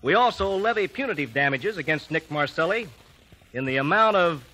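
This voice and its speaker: A man playing a solemn jury foreman, formal and deliberate, in 1950s radio drama. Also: on the high side, expressive, bright, forceful tone. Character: solemn male jury foreman delivering a verdict. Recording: faintly noisy old radio recording.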